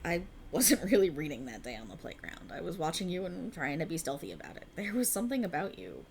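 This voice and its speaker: Brief: woman playing a young alien friend passing as human, lowish voice, swinging pitch, earnest and teasing, ASMR roleplay. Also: fast, creaky, slight background noise. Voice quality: soft, intimate and lively, with blunt teasing and swearing.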